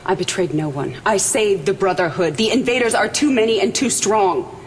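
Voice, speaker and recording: An actress playing a resolute, defiant military faction leader in a video game cutscene, speaking formally and with measured authority. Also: female voice, mid-range voice, expressive, slight background noise, natural voice. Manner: formal, measured briefing delivery.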